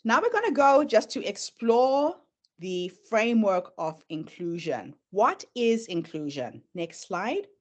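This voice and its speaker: A middle-aged woman with a Zimbabwean accent blended with American sounds, a native Shona speaker. She presents in an earnest, storytelling manner, her pitch rising and falling expressively as she moves through her slides. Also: sing-song, slow. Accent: Zimbabwean, mixed with American. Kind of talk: presentation talk.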